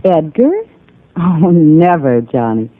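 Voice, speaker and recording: An actress playing a gentle, concerned wife in a 1950s radio drama. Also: female voice, low-pitched, animated, takes it slowly. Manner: gentle and solicitous. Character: warm, concerned adult woman, wife of the inventor. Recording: lo-fi old radio broadcast.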